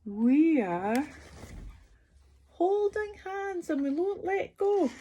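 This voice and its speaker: A woman's voice: extremely high pitch, sing-song, very slow, mellow, pitched above the performer's own voice, full character voice. A woman voicing cheeky little paper dolls who chant a defiant taunt in a sing-song way. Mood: defiant, gleeful.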